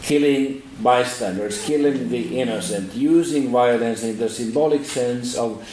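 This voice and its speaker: A man's voice: mid-range voice, a little slow, darkish tone. Firm man answering from a panel. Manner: firm, explanatory. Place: university seminar hall. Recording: echoey hall, mic at a distance.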